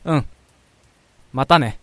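A man's voice: takes it slowly, dry recording, close to an everyday voice. A man playing a considerate, mild-mannered young friend who gives brief replies in a radio drama. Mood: easygoing.

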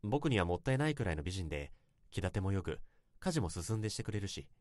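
A man's voice: low voice, sing-song, fast, a little mellow, no echo, clean studio sound. An actor playing an earnest salaryman in his thirties, telling his story politely and reflectively in a Japanese radio drama, in a literary style. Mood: reminiscing. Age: 30s. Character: earnest 32-year-old salaryman confessing a bittersweet youthful love.

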